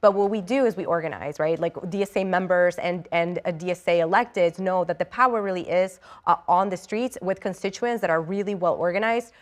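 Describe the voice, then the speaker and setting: Earnest low-voiced woman from Ecuador. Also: a little fast, few pauses. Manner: earnest, eager, many fillers and restarts. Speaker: state assemblymember, interview guest. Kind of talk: live TV news interview.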